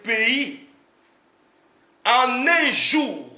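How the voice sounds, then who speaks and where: Animated pastor preaching with forceful emphasis. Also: male voice, very high voice, extremely slow, roomy sound. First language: not French. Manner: emphatic preaching with rhetorical questions. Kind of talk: sermon.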